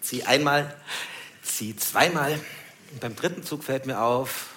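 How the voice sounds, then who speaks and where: A man's voice: mid-range voice, takes it slowly, pushed and bright. A man telling a stand-up story on stage, acting out dialogue and laughing as he talks. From Germany, Munich area. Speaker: stand-up comedian. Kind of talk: stand-up storytelling to a live audience. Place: live comedy venue. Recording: roomy live stage with noise.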